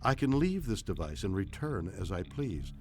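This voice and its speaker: Low, ancient enigmatic alien being. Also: male voice, sing-song, darkish tone, faint music behind. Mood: enigmatic, measured. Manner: measured, formal, cryptic. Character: ancient enigmatic male alien entity, calm and formal. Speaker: voice pack character. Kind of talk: scripted game dialogue.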